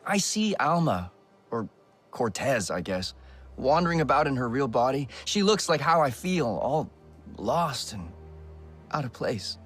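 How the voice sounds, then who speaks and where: A man's voice: medium pitch, very animated, a little slow, a bit bright, husky, soft background music, exaggerated voice. Hesitant, grieving man. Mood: wistful, lost. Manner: hesitant, reflective. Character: lost, grieving male human defector, earnest and unsure. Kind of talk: video game character acting.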